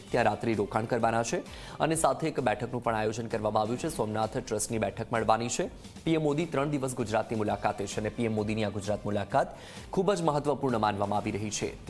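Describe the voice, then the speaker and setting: Male news anchor over music. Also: fairly low pitch. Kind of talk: live news bulletin presentation. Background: music bed under the voice.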